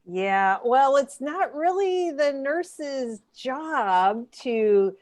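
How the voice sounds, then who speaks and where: A woman's voice: high-pitched, expressive, extremely slow, a little mellow, heavily acted. A woman playing a fretful, melodramatic woman in an improvised comedy parody. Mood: wry, hesitant.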